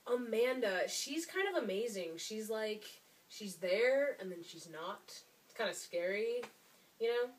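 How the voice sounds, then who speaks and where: A woman's voice: a little high, expressive, measured pace. Young woman, musing, hesitant, echoey room, mic far off. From Michigan. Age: 20s. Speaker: roommate.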